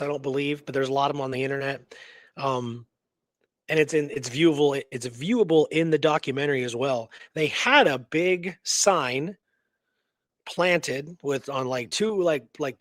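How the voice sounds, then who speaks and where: A man's voice: fairly high pitch, no echo. A man co-hosting a radio show, casually telling historical research and often checking in with "right?" and "you know".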